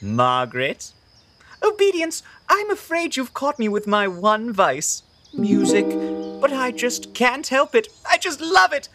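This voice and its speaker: A man acting a smug, awkward, lovestruck Puritan suitor who woos haltingly in a comedy audio drama. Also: very high-pitched, huge pitch swings, full character voice. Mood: smarmy, wooing. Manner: halting, self-satisfied, wooing. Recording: guitar music behind.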